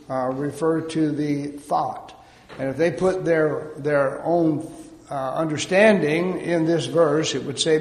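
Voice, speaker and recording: A man preaching a sermon in a measured way, pausing often on fillers. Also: on the high side, a little mellow. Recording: echoey hall.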